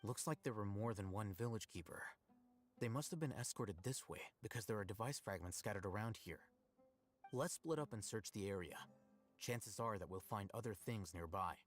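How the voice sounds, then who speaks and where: Man doing a calm scholar, lowish voice, measured reasoning, faint game music. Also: close to an everyday voice. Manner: measured, deductive. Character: calm analytical male scholar, adult. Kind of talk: game voice acting.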